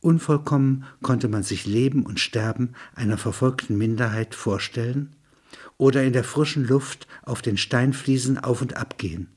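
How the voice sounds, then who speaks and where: A man reading scripted literary prose in a measured, sober manner, with a husky, rough-edged voice. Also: medium pitch, a little mellow. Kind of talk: scripted narration of a literary audio piece.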